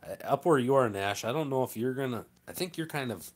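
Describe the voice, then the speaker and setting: A man's voice: medium pitch, animated, brisk. A man, a farmer chatting casually as he hosts a live stream and answers comments in a plainspoken, rambling way.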